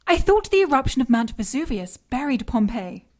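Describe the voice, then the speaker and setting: A clever woman companion with a fairly high voice. She is earnest and inquisitive, questioning what she hears in audio drama style. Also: very animated, moderate pace, a little mellow. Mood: curious.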